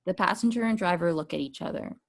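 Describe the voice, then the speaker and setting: Narrator: woman, low-pitched, reading scripted stage directions. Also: fast.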